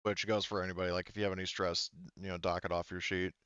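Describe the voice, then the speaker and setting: Male game master, low smooth voice, halting improvised narration, dry but slightly dull recording. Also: little pitch movement, a bit bright, no echo. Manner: halting, thinking aloud. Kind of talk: unscripted narration of a tabletop roleplaying game.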